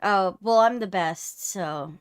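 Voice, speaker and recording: A woman's voice: medium pitch, slow pace, smooth, dry recording. A woman hosting a livestream in casual chat, teasing her co-host playfully, close on the mic.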